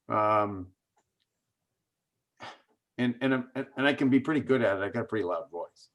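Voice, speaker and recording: Earnest man making his case. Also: medium pitch. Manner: earnest, speech-like, rhetorical questions. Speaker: political candidate. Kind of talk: campaign interview answers.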